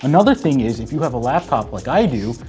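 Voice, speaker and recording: Male debate contestant, animated intonation, informal arguing, conversational, soft music in the background. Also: medium pitch, fast talker. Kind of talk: casual argumentative chat.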